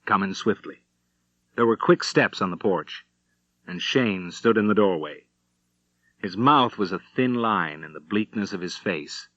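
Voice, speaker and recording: A man narrates a western audiobook in a bright, forceful voice, pitched a little low. His storytelling is measured and dramatic, recorded very dry with a slightly dull, lo-fi sound. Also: dry recording. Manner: measured storytelling with acted dialogue.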